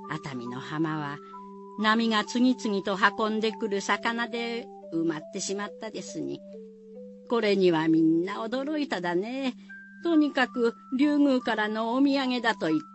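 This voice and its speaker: A woman narrating a folk tale for radio in a folksy manner, with rustic dialect. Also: mid-range voice. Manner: folksy storytelling. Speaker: storyteller narrator.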